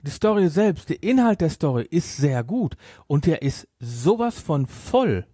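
A man's voice: a little high, very animated, a little slow, mellow, husky, no room sound, clean studio sound. A man hosting a podcast, discussing a story casually and emphatically, often repeating and rephrasing his points. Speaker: podcast host. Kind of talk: casual discussion, reviewing an audio drama.

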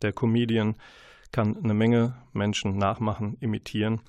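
A man's voice: lowish voice, little pitch movement, normal speed, darkish tone, dry recording. A man hosting a radio book show in a chatty, playful magazine style, addressing the listeners directly. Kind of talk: chatty, lightly humorous magazine-show presenting. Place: radio studio.

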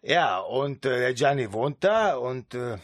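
A man from Düsseldorf, a shop owner guesting on a radio show, talking in a relaxed, friendly way. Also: medium pitch, unhurried, no echo, studio quality. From Düsseldorf, Germany. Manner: relaxed, friendly, filler "halt". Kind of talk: radio interview.

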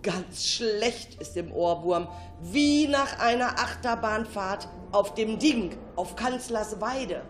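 A woman narrating a children's story in an expressive, dramatic, suspenseful way. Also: medium pitch, takes it slowly, soft background music. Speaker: storyteller, radio show narrator.

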